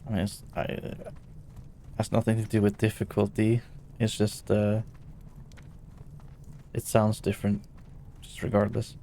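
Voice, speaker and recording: A man's voice: completely flat delivery, a little slow, gravelly, pauses often, warm tone, a little hiss or hum. A tired Norwegian man streaming a game, chatting casually and hesitantly with his viewers. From Norway. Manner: tired, hesitant, low-key. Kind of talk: casual chat while gaming.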